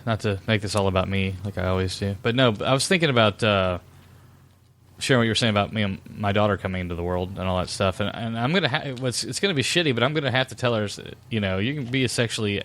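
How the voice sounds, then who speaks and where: Podcast co-host, low male voice, fast and foul-mouthed. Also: a bit bright. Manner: crude, joking. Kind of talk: casual chat.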